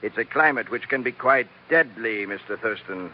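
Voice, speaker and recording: An actor playing a coldly menacing plantation manager, clipped and formal, in 1940s radio drama style. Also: male voice, mid-range voice, expressive, moderate pace, lightly acted. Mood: icy menace. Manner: clipped, formal, veiled threats. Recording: muffled, noisy old radio broadcast.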